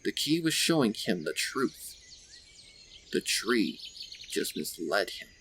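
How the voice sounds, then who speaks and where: A man's voice: lowish voice, big pitch swings, slow pace, fairly bright tone, slight background noise. A man reading scripted story narration as the narrator of a dramatic tale.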